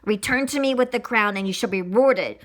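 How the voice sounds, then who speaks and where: A woman's voice: fairly high pitch, a little fast, close to an everyday voice. A woman voicing an ancient, menacing witch queen in a children's storybook, imperious and theatrical. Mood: gloating.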